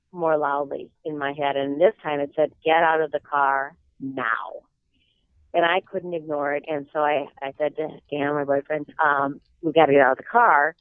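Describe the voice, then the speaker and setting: A woman in her sixties with a slight cold-hoarseness, telling personal stories in an earnest, reflective way as an interview guest. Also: low-pitched, dry recording. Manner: earnest, reflective, with some hesitant fillers. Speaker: guest author. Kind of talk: interview storytelling. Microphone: telephone. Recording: tinny phone line.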